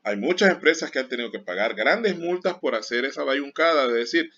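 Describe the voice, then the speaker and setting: Guy teaching accounting, bright edgy voice, keeps warning ppl to be careful, muffled recording. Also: medium pitch, expressive, a little fast. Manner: explains with everyday examples and repeated warnings. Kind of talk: classroom lecture. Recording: dull lo-fi sound.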